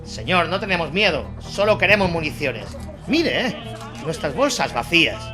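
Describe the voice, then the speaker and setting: A man's voice: on the high side, big pitch swings, normal speed, over music, pitched above the performer's own voice. A man playing a worried, protesting policeman with an urgent, pleading manner in a war audio drama.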